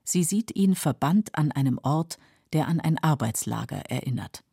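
A narrator reading scripted literary documentary text in a sober, measured manner. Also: medium pitch, expressive, creaky, warm tone, husky, no echo, clean studio sound.

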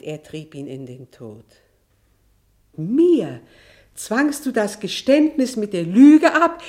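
An actress playing a proud, embittered estranged wife and mother in a German radio drama, speaking in a deliberate, theatrical, eloquent way. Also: medium pitch, lightly acted. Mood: bitter, intense.

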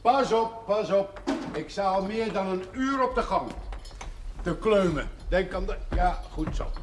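A man's voice: high-pitched, lively intonation, measured pace. An actor playing an impatient, grumbling man who curses and complains at home in a television period drama. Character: grumbling, exasperated man bickering at home over Christmas. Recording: echoey far-off TV soundtrack, music behind.

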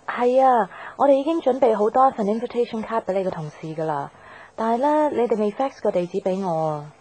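An actress playing a gentle young career woman in love in a radio drama, speaking Hong Kong Cantonese. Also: a little high, expressive, brisk, poor audio. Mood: businesslike, friendly. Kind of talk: radio drama narration and dialogue. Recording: music behind the voice.

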